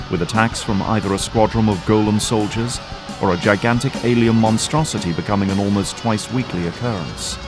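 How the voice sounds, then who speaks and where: A man narrating an audio drama in a scripted, dramatic storytelling style. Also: lowish voice.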